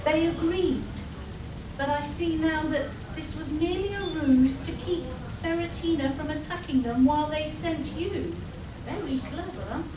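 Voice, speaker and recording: Woman as shifty dramatic villager lady, high voice, radio play in echoey noisy hall. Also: measured pace, distant mic. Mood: ominous storytelling. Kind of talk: live comedic radio play acting.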